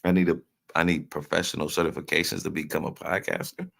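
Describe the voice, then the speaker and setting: A man's voice: extremely low pitch, flat delivery, fast, gravelly, a bit bright. A man hosting a casual livestream, chatting loosely and joking with viewers in the chat. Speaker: livestream host. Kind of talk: casual live-stream commentary, reading chat.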